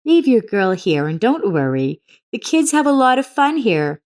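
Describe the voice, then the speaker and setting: A woman playing a bright-pitched, pleasant young club worker. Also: sing-song, moderate pace. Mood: pleasant, reassuring. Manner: friendly, helpful.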